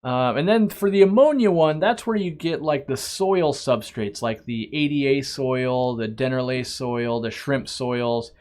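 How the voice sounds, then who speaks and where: A man's voice: mid-range voice, unhurried, few pauses, darkish tone. A middle-aged man with an ordinary mid-range voice talks off the cuff on a live stream. He wanders from topic to topic and restarts sentences often. His manner is loose and friendly, not scripted. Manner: rambling and chatty, with frequent restarts and asides; reads viewer questions aloud, then answers them. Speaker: aquarium shop owner hosting a live stream. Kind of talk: casual live-stream Q&A chat.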